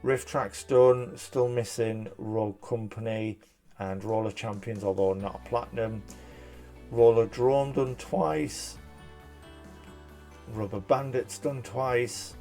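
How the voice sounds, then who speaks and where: Casual male reviewer, mid-pitched, a bit hoarse, rambling with fillers, light music under. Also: fairly even intonation, takes it slowly, warm tone. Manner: chatty, rambling, frequent fillers and restarts. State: slightly hoarse. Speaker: trophy list reviewer. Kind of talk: casual commentary.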